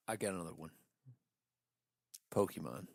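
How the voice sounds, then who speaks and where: Low-voiced, animated, blunt man. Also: normal speed, gravelly, slightly rough, no room sound, studio quality. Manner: blunt, opinionated. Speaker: podcast co-host. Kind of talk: casual argumentative chat.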